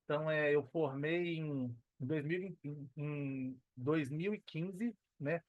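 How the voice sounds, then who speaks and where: A man's voice: on the high side, takes it slowly, no echo. A man in his thirties with a Minas Gerais Brazilian accent, talking casually as an interview guest. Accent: Brazilian Portuguese, Minas Gerais. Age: 30s. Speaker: podcast guest, personal trainer and affiliate marketer. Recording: dull, lo-fi, dry audio.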